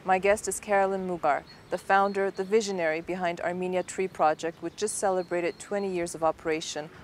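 Lower-voiced woman hosting an outdoor interview. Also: steady tone, slight background noise. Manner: measured, warm-up questions with small pauses. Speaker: interview host. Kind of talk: television interview. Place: outdoors in a city park.

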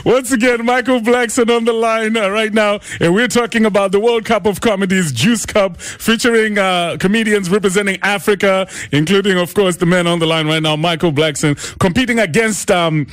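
A man hosting a radio show, interviewing a guest in an excitable, announcer-like way. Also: high voice, moderate pace, few pauses, no echo, clean studio sound. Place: radio studio.

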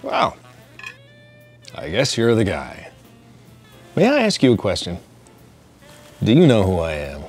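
A man's voice: lowish voice, huge pitch swings, unhurried, pauses often, faint music behind, full character voice. An actor playing an understated, questioning man in naturalistic screen acting. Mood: wry, questioning.

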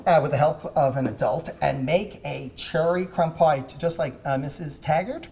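A man hosting a kids' cooking show in a home kitchen, talking in a halting way with many "uh" fillers. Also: a little high, creaky, a little mellow. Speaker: host.

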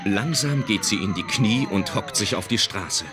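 A man's voice: fairly low pitch, lively intonation, normal speed, loud music behind the voice, lightly acted. A man narrating an audio drama from a script.

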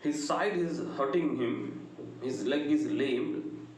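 Very echoey South Indian man lecturing slowly. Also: fairly high pitch. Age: middle-aged. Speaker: English lecturer. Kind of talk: lecture retelling a play. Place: small bright indoor room. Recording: very echoey room, faint background noise.

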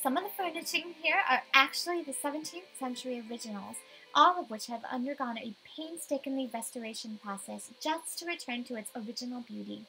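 Woman as a bright, eager young estate agent. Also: sits high, fairly quick, vocal fry, faint music behind, heavily acted, low-quality recording. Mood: polite, proud. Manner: polite, emphatic sales presentation.

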